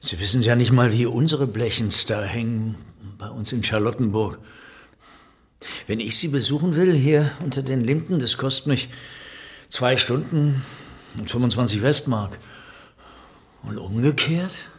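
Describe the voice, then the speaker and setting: An actor as a jovial middle-aged male art curator in a radio play. He chats in a breezy, colloquial way at a medium pitch, coaxing his listener like an old colleague. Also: measured pace, lightly acted. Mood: chummy, complaining. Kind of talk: conversational radio drama acting.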